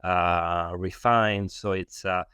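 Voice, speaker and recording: A man's voice: sits low, fairly even intonation, slow pace, sharp tone, smooth, no room sound. A man with an Italian accent, a non-native English speaker, giving explanatory interview answers and often searching for words. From Italy. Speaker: loudspeaker designer, interview guest.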